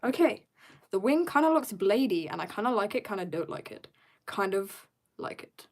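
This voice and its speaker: A teenage girl from South Africa chatting casually to her viewers while she draws, rambling and joking at herself, sometimes dropping to quiet mutters. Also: mid-range voice, very animated, normal speed. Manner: chatty, rambling, self-mocking asides. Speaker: art streamer. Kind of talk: casual chat while drawing.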